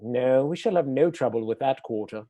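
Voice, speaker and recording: An actor playing a cold, scheming noble earl in a radio play, speaking with smooth, condescending menace. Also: male voice, medium pitch, expressive, normal speed, dark tone, lightly acted. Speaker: villainous earl.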